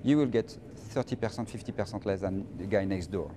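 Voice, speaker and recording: A middle-aged man from France with a French accent, answering interview questions in an emphatic, halting way and often repeating phrases. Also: on the low side, background noise. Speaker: interviewee, finance professional.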